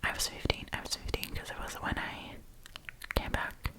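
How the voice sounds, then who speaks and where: Whispering woman, ASMR storyteller. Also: normal speed. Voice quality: breathy unvoiced whisper. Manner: chatty, informal, rambling. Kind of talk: whispered ASMR, casual reading and commentary.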